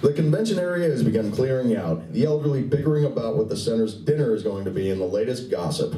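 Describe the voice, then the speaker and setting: A man's voice: mid-range voice, expressive, fast talker, mellow. A man narrating a comedy radio play live, reading the script expressively with wry asides. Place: live convention event room. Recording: roomy, distant live recording, faint noise.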